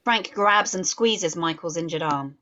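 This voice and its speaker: Slightly low-voiced woman reading stage directions. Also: measured pace, pushed and bright, muffled recording. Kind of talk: scripted narration in a table read.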